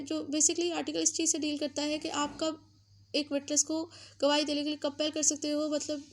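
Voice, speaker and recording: A woman's voice: high-pitched, slight background noise. A woman with a Pakistani accent giving an explanatory law lecture, repeating points and mixing in English legal terms. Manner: repetitive, uses English legal terms mixed in.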